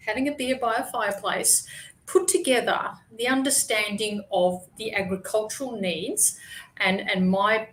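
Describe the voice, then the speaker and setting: A woman's voice: mid-range voice, rough recording. An Australian woman gives an online presentation as a guest speaker. Her delivery is conversational and chatty, full of small fillers and restarted phrases.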